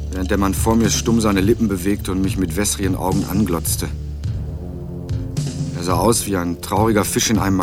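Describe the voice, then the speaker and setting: An actor playing a wry, laconic young student who narrates and speaks in a crime radio play with dry irony. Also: male voice, lowish voice, natural voice. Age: young adult. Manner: dry, ironic. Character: wry, laconic young male student investigating his father's death. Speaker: narrator and protagonist.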